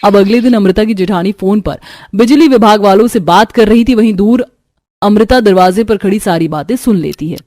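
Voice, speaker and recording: A woman's voice: mid-range voice, normal speed, dark tone, dry recording, clean studio sound. A woman narrating a scripted cartoon story.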